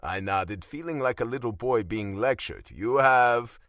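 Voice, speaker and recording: A man's voice: lowish voice, lively intonation, normal speed, no echo, clean studio sound. A man narrating a first-person romance audiobook in a wry, self-deprecating, conversational way.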